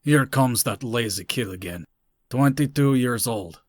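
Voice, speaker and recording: A man's voice: medium pitch, a little slow, no echo, studio quality, lightly acted. Man as a grumbling older neighbourhood gossip. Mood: disapproving.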